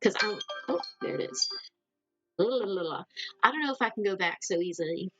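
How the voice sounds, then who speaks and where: A woman's voice: medium pitch, lively intonation, fairly quick, sharp tone, soft background music, poor audio. A woman with a mild Southern American accent, chatting loosely with her live audience, rambling and laughing often. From Southern United States. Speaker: livestream host. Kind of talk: casual chat with a live audience.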